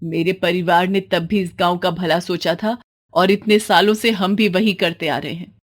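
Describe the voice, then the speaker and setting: A woman's voice: on the low side, steady tone, normal speed, close to an everyday voice. A woman acting a bitter, defiant village widow. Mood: indignant. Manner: pointed, emotional, dramatic delivery. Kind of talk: dramatic story dialogue.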